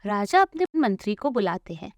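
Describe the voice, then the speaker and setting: A woman's voice: mid-range voice, very animated, normal speed, no room sound, clean studio sound. A woman narrating a scripted children's story as a storyteller.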